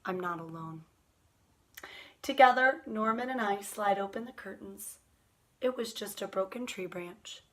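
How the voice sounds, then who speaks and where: A woman reading a children's picture book aloud at storytime, expressive and drawn out, putting questions to her young listeners. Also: medium pitch, unhurried, long pauses.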